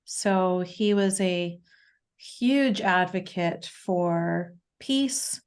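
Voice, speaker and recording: Slow-talking Canadian woman. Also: lowish voice, smooth. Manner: halting, with many fillers and restarts. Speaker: podcast guest. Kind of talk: casual interview talk.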